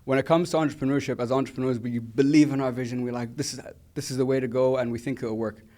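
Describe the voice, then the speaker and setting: A man's voice: medium pitch, steady tone, fast talker, mellow. Young man, interviewer, earnest, long rambling questions. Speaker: interviewer host.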